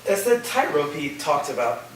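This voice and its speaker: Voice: mid-range voice, very animated, moderate pace, natural voice. An actor playing an easygoing, enthusiastic college student in a staged play reading, casual and reassuring. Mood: breezy, reassuring. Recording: echoey room from far off, noisy.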